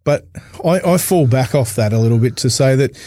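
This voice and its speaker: A man's voice: mid-range voice, a little fast, mellow, no echo, clean studio sound. A middle-aged Australian man co-hosting a casual interview in an informal, colloquial way. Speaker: co-host interviewer.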